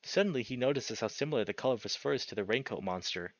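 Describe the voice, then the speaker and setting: A man's voice: medium pitch, animated, very fast, no room sound, highs cut off. A man narrating a visual novel in a dramatic, immersed storytelling way, as a player reading aloud.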